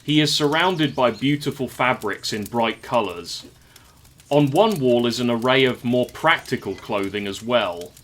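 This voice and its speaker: A man's voice: medium pitch, expressive, measured pace, a bit bright, a little hiss or hum. A man narrating a scripted audio drama in the present tense, as a storyteller.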